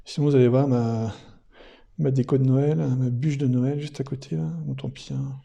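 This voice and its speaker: Gravelly, very dark-toned man unboxing gear. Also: mid-range voice, fairly even intonation, normal speed, highs cut off. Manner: hesitant, thinking aloud. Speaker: product reviewer presenting an unboxing. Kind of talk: casual unscripted unboxing commentary.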